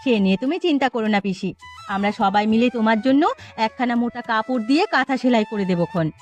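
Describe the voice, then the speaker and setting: Woman playing a high-voiced chiding village mother. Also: fast talker, natural voice. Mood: caring. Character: brisk, scolding but caring village mother. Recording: music under the voice.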